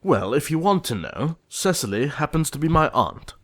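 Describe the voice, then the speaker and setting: A man acting an earnest, easily exasperated young English gentleman in an audio play of a period comedy, formal and emphatic. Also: a little high, very animated, moderate pace. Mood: defensive, impatient.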